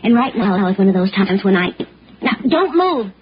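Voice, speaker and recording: A woman's voice: mid-range voice, sing-song, normal speed, husky. A woman acting as a young, scheming gun moll in a 1960s radio noir. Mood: tense, threatening. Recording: dull, noisy 1960s radio recording.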